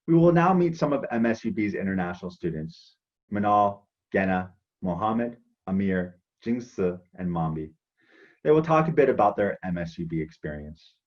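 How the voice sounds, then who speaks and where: A man presenting university programs in a measured, scripted manner. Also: low-pitched, expressive, dull audio. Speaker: international outreach presenter.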